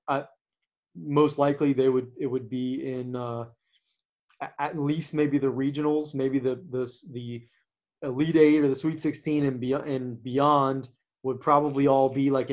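A man who coaches, talking casually about sports as a podcast co-host, with a naturally quiet voice and a halting way of talking, full of restarts and fillers. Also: medium pitch, little pitch movement. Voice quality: naturally quiet voice that does not carry. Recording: dull lo-fi sound.

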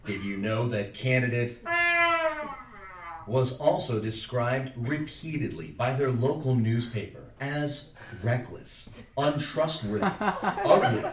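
An actor playing an automated political phone-poll caller who gets increasingly pushy, with formulaic prompts swinging into exaggerated salesmanship, performed live on stage. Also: male voice, medium pitch, expressive, slow. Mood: mock-persuasive. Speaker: actor in a live interactive radio play. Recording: roomy, distant, noisy live theater recording.

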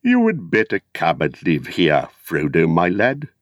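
A kindly old hobbit gentleman from a storybook, voiced by a male reader. He speaks in a low, expressive voice, genial and affectionate, never hurried. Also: dry recording, clean studio sound, full character voice.

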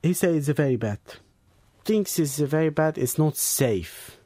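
A man's voice: a little high, animated, a little slow, mellow, no room sound, studio quality. Young man with Iraqi Kurdish accent. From Iraq. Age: young adult. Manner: plain, broken-English phrasing, frank. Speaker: interviewee.

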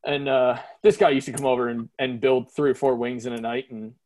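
A man's voice: mid-range voice, fairly even intonation, fairly quick, darkish tone, no echo. Slangy man chatting on a podcast. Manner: slangy, calls people dude and man. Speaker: podcast host. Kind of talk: casual chat.

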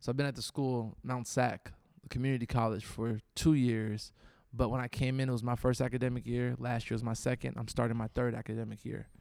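A man's voice: mid-range voice, flat delivery, brisk, vocal fry, few pauses, darkish tone, no echo, studio quality. A man co-hosting a podcast, chatting casually and backing his partner with short agreeing replies. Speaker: podcast co-host, college presenter. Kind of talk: casual chat.

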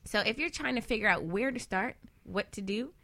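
Bright-voiced woman giving money advice. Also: medium pitch, expressive, moderate pace, creaky. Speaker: financial advisor, guest panelist. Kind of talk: lively panel talk on money habits.

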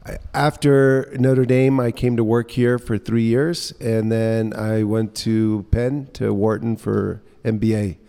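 Mellow man in a reverberant room. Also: on the low side, little pitch movement, takes it slowly, vocal fry, clean tone. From Guatemala, England and mostly New Orleans, USA. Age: middle-aged. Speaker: interview guest, social entrepreneur. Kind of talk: conversational personal storytelling. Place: indoor co-working space. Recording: reverberant room with long echo.

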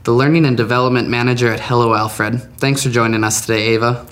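A man's voice: mid-range voice, fairly even intonation, fast, fairly bright tone. A man hosting a business podcast, who introduces his guest and asks questions with friendly, affirming replies. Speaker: podcast host. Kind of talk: scripted podcast interview hosting.

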